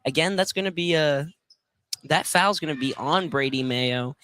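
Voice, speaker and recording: A man's voice: on the high side, moderate pace, pushed and bright. A man giving casual color commentary during a live basketball game. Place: college basketball field house.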